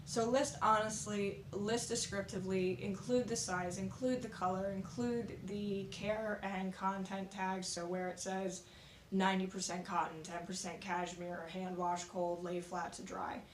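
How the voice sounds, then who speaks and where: A woman with a slightly raspy voice, recovering from being sick, giving frank, practical reselling tips at home in a casual vlog. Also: mid-range voice, level tone, unhurried. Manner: frank, practical, conversational with fillers. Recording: echoey room with faint noise.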